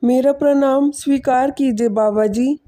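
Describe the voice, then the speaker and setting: A woman voicing a humble, selfless poor village man in an animated moral story, speaking politely and earnestly. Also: high voice, unhurried. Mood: respectful, pleading.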